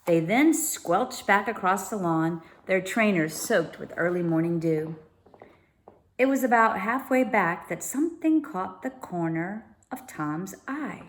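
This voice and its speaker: Woman narrator for children's read-aloud, mid-range voice, steps out informally to explain words. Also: mid-range voice.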